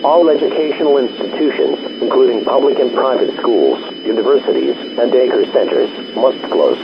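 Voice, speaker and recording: A man's voice: medium pitch, animated, normal speed. Dull lo-fi male emergency alert announcer. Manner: official, impersonal. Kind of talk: formal scripted public emergency announcement. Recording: dull lo-fi emergency broadcast audio.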